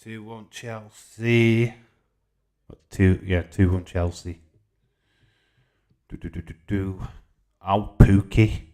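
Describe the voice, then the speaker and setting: A man's voice: fairly low pitch, fairly even intonation, takes it slowly, long pauses, dark tone. A man in his forties with a Yorkshire accent hosting a casual livestream, reading out comments and bantering informally as he replies. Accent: Yorkshire English. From Yorkshire, England. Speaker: live stream host.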